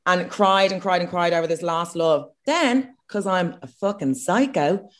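A woman's voice: on the low side, measured pace. A woman with an Irish accent, co-hosting a casual comedic chat, frank and self-mocking. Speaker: podcast co-host.

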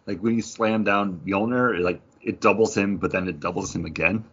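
A man's voice: low voice, brisk, breathy edge, highs cut off. A man co-hosting a casual gaming chat, explaining things in detail with plenty of slang. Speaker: podcast co-host.

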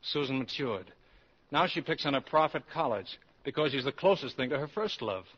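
An actor playing an insistent college professor in love in a 1940s radio drama, brisk, assertive and persuasive. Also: male voice, medium pitch, animated, a bit bright, slightly rough. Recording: dull vintage 1940s radio recording.

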